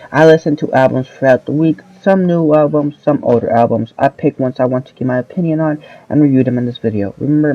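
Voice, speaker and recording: An eighteen-year-old man reviewing albums in casual, rambling speech with slang, often correcting himself, his voice a little lowered as he comes down with a cold. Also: no room sound, muffled recording. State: voice lowered from oncoming sickness. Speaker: album review channel host.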